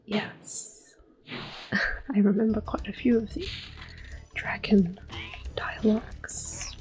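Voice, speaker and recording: A woman hosting soft-spoken ASMR gameplay commentary, whispering gently as she plays. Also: medium pitch, fairly even intonation, slow pace, low-quality recording. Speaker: ASMR gaming host. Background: game music.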